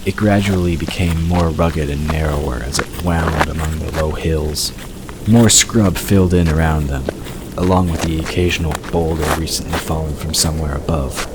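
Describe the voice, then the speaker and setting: A man's voice: rumbling low, fairly even intonation, moderate pace, heavy background noise. A man reading scripted fiction narration as an audiobook narrator, in a storytelling style. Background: outdoor ambience and water sound effects.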